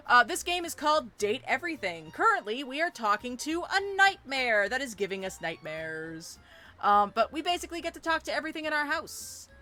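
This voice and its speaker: A sick woman streaming a video game, chatting and reacting easily startled, her voice deeper than usual from illness. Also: bright, forceful tone. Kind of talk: let's play commentary.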